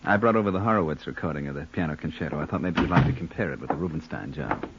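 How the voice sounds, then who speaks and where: An actor playing a sly blackmailer with cultured airs, insinuating and mock-polite, in an old-time radio crime drama. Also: male voice, extremely low pitch, lively intonation, racing, breathy edge, highs cut off, exaggerated voice.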